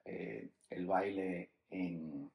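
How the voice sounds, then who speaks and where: A man from New York hosting a podcast, asking questions and telling anecdotes in a relaxed, conversational way. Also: low voice, drawn-out words, creaky, roomy sound. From New York, United States. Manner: relaxed, conversational, acts out quotes. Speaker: podcast host. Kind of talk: casual podcast interview.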